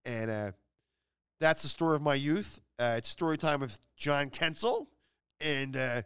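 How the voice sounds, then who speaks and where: Comedian host, male, slightly high clear tone, loose anecdotal delivery with halting repeats. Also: expressive, a bit bright. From Philadelphia, United States. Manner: rambling, halting, repeats words for emphasis. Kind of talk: comedic anecdote storytelling, casual.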